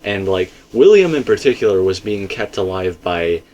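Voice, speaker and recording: A man's voice: low-pitched, big pitch swings, moderate pace, a little mellow, muffled recording. A man hosting a casual, speculative discussion, talking in a rambling, stop-and-start way and often correcting himself. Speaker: host of a game theory discussion.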